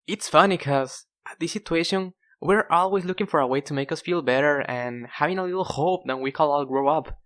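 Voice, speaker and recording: A man's voice: fairly high pitch, fairly quick, hardly any pauses, fairly bright tone. Young male narrator, casual storytime delivery, conversational and self-deprecating. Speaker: narrator of an animated storytime video. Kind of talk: casual scripted storytelling with acted dialogue.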